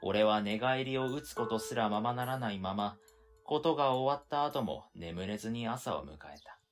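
A man's voice: mid-range voice, lively intonation, moderate pace, faint music behind, close to an everyday voice. A man playing an exasperated, long-suffering young man who narrates his own story in an audio drama. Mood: weary, exasperated. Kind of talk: first-person scripted narration in audio drama.

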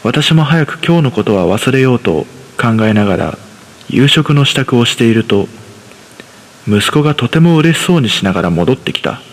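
A man's voice: mid-range voice, sing-song, moderate pace. A man narrating a scripted horror story in the first person. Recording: long reverb on the voice.

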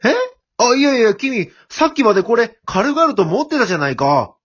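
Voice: medium pitch, sing-song, moderate pace, slightly rough, muffled recording. Blustering, put-upon wolf villain acted out. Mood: flustered, scheming. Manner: blustering, whiny, exasperated retorts. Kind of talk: amateur radio drama acting.

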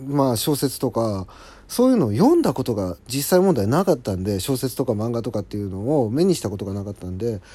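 A man talks alone as the host of a casual show, in a soft-edged, mellow timbre. His intonation rises and falls in a very lively way. He is polite and conversational, often pausing to agree with himself as he updates his listeners. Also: medium pitch, husky. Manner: polite, apologetic, conversational with frequent agreeing asides. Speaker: solo audio show host.

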